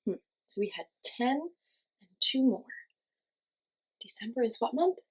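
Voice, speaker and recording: A woman teaching young children, giving simple encouraging instructions and asking questions, with pauses for answers. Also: a little high, expressive, darkish tone, husky, rough recording. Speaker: children's class teacher and host. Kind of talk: children's lesson with guided movement.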